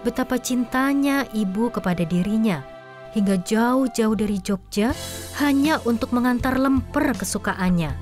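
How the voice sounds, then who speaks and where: Expressive woman narrating a drama. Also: a little high, moderate pace, faint music behind. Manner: expressive storytelling.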